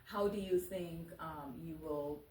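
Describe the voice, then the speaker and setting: A woman's voice: deep voice, takes it slowly, darkish tone. A woman hosting a talk show, asking questions in a conversational interview style. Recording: echoey room, distant mic, faint noise.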